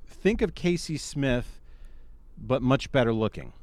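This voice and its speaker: A man co-hosting a podcast, answering in a casual, tongue-in-cheek way with deliberate, mock-diplomatic wording. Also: mid-range voice, animated, darkish tone, no room sound. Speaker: podcast co-host.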